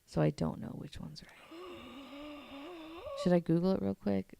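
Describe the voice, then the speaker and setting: Low creaky-voiced woman, chatty. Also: fairly even intonation, noisy background. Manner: chatty, laughs often, many fillers; reads article aloud haltingly. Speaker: podcast co-host presenting research. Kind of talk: casual podcast chat.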